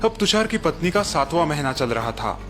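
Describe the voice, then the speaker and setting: A man's voice: fairly high pitch, very animated, fairly quick. Man narrating a bedtime story. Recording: music bed behind narration.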